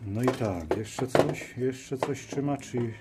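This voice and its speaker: A man giving casual hands-on commentary, musing aloud in short, halting phrases as he works at a workshop bench. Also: mid-range voice. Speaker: host of a DIY teardown video. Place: workshop at a cluttered metal bench. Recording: noisy workbench with clicks and clatter. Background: tool clicks and metallic clatter.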